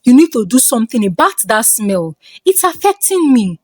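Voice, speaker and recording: A woman's voice: on the high side, very animated, normal speed, darkish tone, no room sound, clean studio sound. A woman with a Nigerian accent voicing a cold, complaining young husband in a folktale. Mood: disgusted. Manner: dismissive, complaining.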